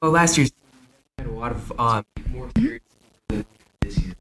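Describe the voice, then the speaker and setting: A man guest from the swim team answering haltingly with many ums. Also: medium pitch, sing-song, crowd noise. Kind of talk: interview answers. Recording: noisy, clicking background.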